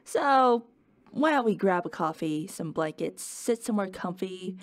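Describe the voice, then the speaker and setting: A woman's voice: mid-range voice, lively intonation, unhurried, dry recording, natural voice. A woman playing a wry, playful professor who delivers a scripted lecture in a conversational, plain-explaining way. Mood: playful, teasing. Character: playful, wry professor giving an unasked-for office-hours lecture. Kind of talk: scripted educational lecture in character.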